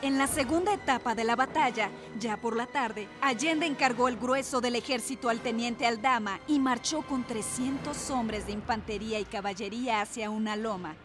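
High-voiced woman narrating over music. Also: normal speed, hardly any pauses. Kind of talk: scripted historical narration. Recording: prominent music under the narration.

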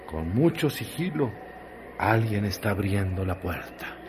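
Slow, low-voiced male radio narrator. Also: very animated. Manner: slow, measured and intense. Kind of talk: dramatic radio novel narration. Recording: narration over loud music.

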